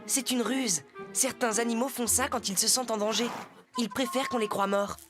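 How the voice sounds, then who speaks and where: A brave, curious cartoon boy, played by a woman, speaks in a high, youthful voice. He is eager and earnest, with loud music behind him. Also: steady tone, normal speed, lightly acted. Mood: concerned, determined. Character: brave, curious young Sioux boy, kind to animals. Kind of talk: animated cartoon dubbing.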